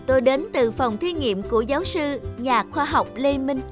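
A woman's voice: high-pitched, expressive, moderate pace, music in the background. A woman voicing an obedient, reassuring robot copy of a boy in a cartoon. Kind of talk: cartoon dubbing.

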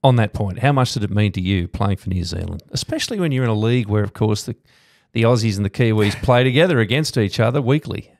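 Gravelly middle-aged male podcast host. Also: on the low side, fast talker, darkish tone, dry recording, clean studio sound. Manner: rambling questions, many fillers. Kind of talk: podcast interview. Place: studio. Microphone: studio mic on boom arm.

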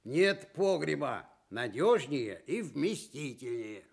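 A man performs a big, self-satisfied male bear from a children's radio tale. The voice is gravelly and swings widely in pitch. He takes his time, pompous and stubborn about his own opinions. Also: big pitch swings, heavily acted. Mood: amused, boastful. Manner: self-important, smug, unhurried. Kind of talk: fairy-tale radio reading in character.

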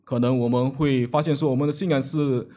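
A man's voice: mid-range voice, fairly even intonation, fairly quick, warm tone. A man seated at a church table interpreting a sermon live, with frequent hesitations and restarts as he searches for words. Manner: hesitant, many filler sounds and restarts. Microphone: table microphone.

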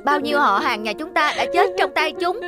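Sensible neighbour bird, lively intonation. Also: female voice, high-pitched, brisk. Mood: consoling. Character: sensible, consoling neighbour hummingbird, indignant at predators. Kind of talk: Vietnamese cartoon fairy-tale dubbing. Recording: loud music under the voice.

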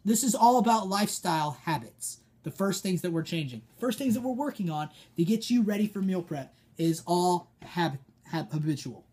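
A man's voice: high voice, warm tone, breathy edge. A young American man giving informal, emphatic coaching as a personal trainer, direct and instructive. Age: young adult. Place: small dim room indoors, seated at a desk.

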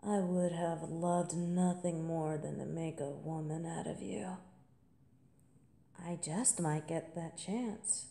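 A woman playing a confident, playful college senior in an intimate, seductive audio roleplay monologue, teasing and at times breathy. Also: deep voice, steady tone, slow pace, warm tone, roomy sound, heavily acted. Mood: sultry.

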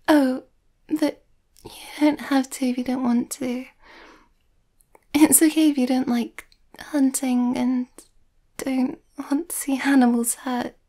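Woman acting a shy, high-voiced werewolf girlfriend. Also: warm tone. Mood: gentle, eager. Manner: hesitant, breathy, often near whisper, nervous. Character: shy, overthinking, sweet young female werewolf girlfriend. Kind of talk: soft-spoken ASMR girlfriend roleplay.

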